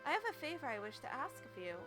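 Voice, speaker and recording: A woman's voice: high-pitched, very animated, fast, bright, forceful tone, soft background music, full character voice. A woman voicing a young runaway princess in a game, speaking formally and earnestly.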